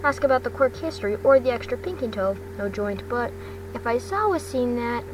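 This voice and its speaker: A woman's voice: on the high side, expressive, fairly quick. A woman reading a story aloud as an amateur narrator, stumbling and repeating phrases as she goes. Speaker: fan fiction narrator. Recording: distant mic, noisy background hum.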